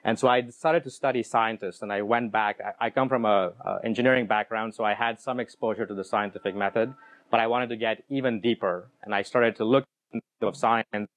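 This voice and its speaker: A man's voice: lowish voice, steady tone, brisk, fairly bright tone. A middle-aged man giving a conference keynote talk in a room with an audience, speaking into a handheld microphone, explaining ideas with frequent restarts and repeated words.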